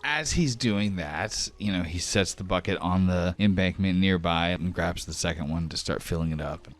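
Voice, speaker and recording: Male game master, low register, conversational tabletop roleplay narration. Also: normal speed.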